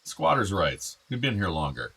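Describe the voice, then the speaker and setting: A man's voice: sits low, wildly swooping pitch, normal speed, creaky, sharp tone, breathy edge, full character voice. An actor playing an older, meddling, wistful father in a naturalistic radio play, with bookish, formal phrasing. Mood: genial. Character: older father, wistful, meddling, urging his son to marry.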